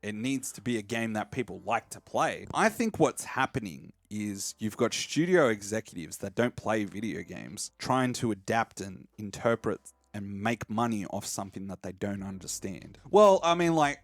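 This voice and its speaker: A man's voice: fairly low pitch, animated, normal speed, few pauses, dry recording. Casual male podcast host, dry close sound. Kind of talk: casual film discussion.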